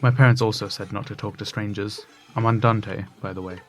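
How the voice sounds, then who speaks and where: A world-weary man hiding from spies , as an actor plays him, speaks in a lowish voice. He sounds fatalistic and bitter, a failed musician in a dramatic radio play. Music runs behind him. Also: steady tone, fairly quick, lightly acted. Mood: weary, guarded. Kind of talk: dramatic audio drama dialogue. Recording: music bed under voice.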